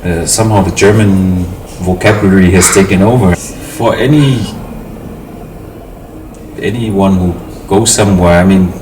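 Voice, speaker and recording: A man's voice: sits low, measured pace. A Samoan man in his thirties talking over casual conversation at home, thoughtful and hesitant, with fillers and restarts. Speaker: interviewee, family host. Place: home in a city. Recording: roomy, distant mic with noticeable noise.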